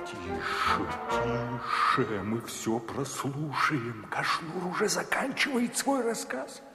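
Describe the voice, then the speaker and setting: An actor playing a chuckly, relishing wicked sorcerer's crony in a fairy-tale audio drama. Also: male voice, mid-range voice, lively intonation, slow. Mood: gleeful anticipation. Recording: echoey hall reverb over music.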